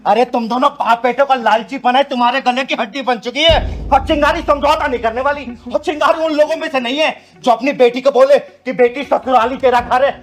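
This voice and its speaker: A man's voice: extremely high pitch, steady tone, a little fast, few pauses. A man acting a fierce, protective kinnar elder who scolds, threatens and boasts in a melodramatic short film. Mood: defiant, commanding. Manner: scolding, threatening, boastful, speaks of self by name. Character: Chingari, fierce kinnar (transgender woman) avenger, protective elder.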